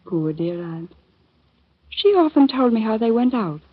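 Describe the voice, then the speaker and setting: An actress playing a calm, sly teenage girl telling a grave tale, in 1930s radio acting style. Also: on the high side, unhurried, husky, full character voice. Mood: wistful. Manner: composed, soft, grave. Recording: thin phone-like old radio recording.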